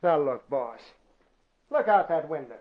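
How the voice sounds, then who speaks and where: An actor playing a wisecracking, worried newspaper city editor, slangy and blustery in old-time radio drama style. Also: male voice, on the high side, lively intonation, unhurried. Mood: worried, pleading. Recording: dull vintage radio broadcast.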